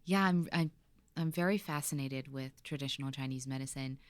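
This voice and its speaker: A woman's voice: rumbling low, brisk, no room sound. A woman hosting a podcast interview in a studio, chatty and full of little fillers. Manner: warm, chatty, many fillers. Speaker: podcast host. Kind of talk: casual interview chat.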